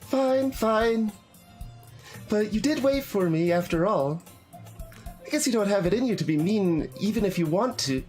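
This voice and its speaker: Bubbly, caring schoolgirl friend for an anime game, played by a man, pitched high, pleading and scolding, music behind. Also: animated, a little slow, pauses often, a little mellow. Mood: playful. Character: cheerful, clingy, caring teenage girl, childhood friend. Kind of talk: anime visual novel character voice.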